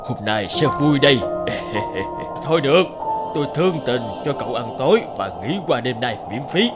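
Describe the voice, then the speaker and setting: An actor playing a smug, eccentric middle-aged landlord who bullies with theatrical mock courtesy in a cartoon fairy tale. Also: male voice, medium pitch, lively intonation, moderate pace, over loud music, natural voice. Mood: sly, commanding.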